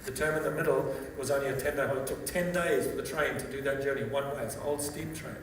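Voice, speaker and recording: A man's voice: mid-range voice, steady tone, quick pace. Older man telling stories in an echoing hall. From Zambia. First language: Bemba. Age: 60s. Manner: conversational storyteller, frequent restarts and "okay?" check-ins. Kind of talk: testimony talk at a seminar. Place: large seminar hall. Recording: echoey hall from far off, with background noise.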